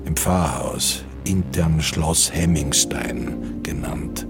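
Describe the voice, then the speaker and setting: A man reading scripted literary narration for a radio drama. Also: sits low. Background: music.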